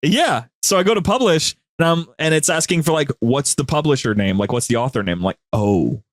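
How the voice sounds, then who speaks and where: A young man chatting casually as a podcast guest, telling his anecdotes in an animated, slangy way. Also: a little high, fairly quick, no room sound. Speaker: podcast guest, audio drama creator. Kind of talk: casual interview chat.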